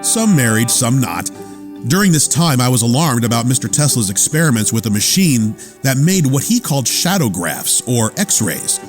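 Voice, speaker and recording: Animated, loyal lab assistant. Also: male voice, medium pitch, moderate pace. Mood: concerned. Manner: earnest, plain storytelling. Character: loyal, watchful male lab assistant recounting his boss's life. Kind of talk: radio theater narration. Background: music.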